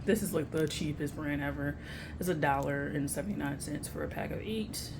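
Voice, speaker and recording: Low-voiced young American woman, casual vlogging. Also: fairly quick, gravelly, background noise. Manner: candid, informal, slangy. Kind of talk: casual grocery haul chat to camera.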